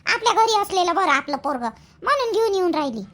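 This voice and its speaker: Woman voicing a very squeaky, bright village mother, Varhadi accent. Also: big pitch swings, quick pace, full character voice. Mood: explaining, hurried. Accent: Varhadi Marathi, Vidarbha. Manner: rambling, colloquial, repetitive. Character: busy village mother, hurried, talkative, practical. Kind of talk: rural family cartoon dialogue.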